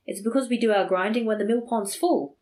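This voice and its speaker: A woman's voice: mid-range voice, fairly quick, warm tone, pitched above the performer's own voice, lightly acted. A woman voicing a lustful village priest who wheedles and boasts. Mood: wheedling.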